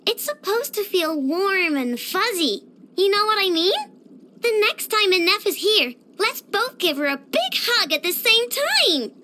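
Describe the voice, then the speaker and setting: Game role: child genius inventor, very high, bright voice, boastful and bubbly. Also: female voice, heavily acted. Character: cheerful young girl genius inventor, proud and childlike. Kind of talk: scripted video game character voice lines.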